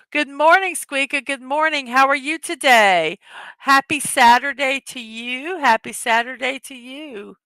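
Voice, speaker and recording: A middle-aged woman hosting a casual morning livestream, chatting and reading viewers' messages aloud, her voice gone a bit hoarse and rough. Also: a little high, bright, forceful tone, no echo. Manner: chatty, reads chat messages aloud, laughs often. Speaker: livestream host.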